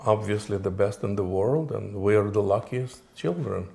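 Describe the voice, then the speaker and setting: A man in his forties from Moldova, a native Romanian speaker, answering interview questions in a halting way with many fillers and restarts. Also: on the low side, gravelly, dark tone. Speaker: interview guest.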